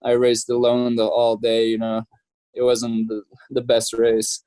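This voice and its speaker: A relaxed young man from France talks through his story in a loose, chatty way, full of fillers and easy laughter. His voice sits at an ordinary middle pitch, and the recording is close and dry with no room around it. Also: a little slow, no room sound, low-quality recording. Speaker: professional triathlete, guest. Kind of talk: casual interview chat.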